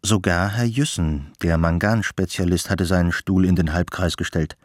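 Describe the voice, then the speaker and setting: A man's voice: low voice, fairly even intonation, moderate pace, no echo, clean studio sound. A man narrating a literary radio drama from a script.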